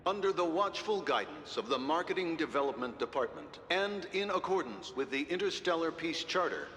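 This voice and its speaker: Casting: official sci-fi newsreader, formal, forceful bright tone, long reverb, slight background noise. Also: male voice, sing-song, moderate pace. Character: formal male announcer relaying galactic political news. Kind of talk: formal announcement in a video game. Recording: reverberant, faint rumbling noise.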